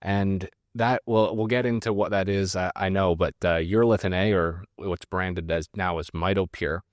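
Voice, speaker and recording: A low-pitched man from Canada talks through science in a relaxed interview, stopping often to restart his sentences. The sound is close and dry, with no room around it. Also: normal speed, dry recording. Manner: hesitant, many fillers and restarts. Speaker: guest scientist. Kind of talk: casual conversational interview.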